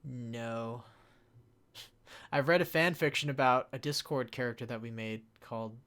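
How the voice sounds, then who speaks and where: Young guy doing an offhand q&a, average pitch, halting, kinda mumbles questions then answers louder. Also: clear, resonant tone. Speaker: web series creator answering chat questions on a panel. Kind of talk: casual off-the-cuff Q&A chat.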